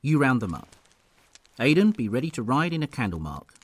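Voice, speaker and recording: A man's voice: mid-range voice, big pitch swings, a little fast. A man playing a stern but loving noble lord and father in an audio drama, speaking in a formal, archaic, commanding way. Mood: firm, resolved.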